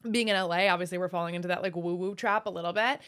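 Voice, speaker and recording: A woman's voice: on the low side, fairly even intonation, very fast, a bit bright, clear, resonant tone. A woman in her thirties from Wisconsin, co-hosting a casual podcast chat in a chatty, joking way. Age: 30s. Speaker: podcast co-host.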